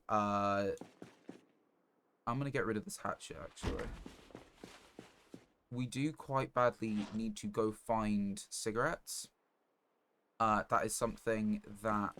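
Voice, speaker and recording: Low-voiced male game streamer, noisy background. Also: fairly bright tone. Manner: halting, rambling, thinking aloud. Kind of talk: casual live gameplay commentary. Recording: noticeable background noise.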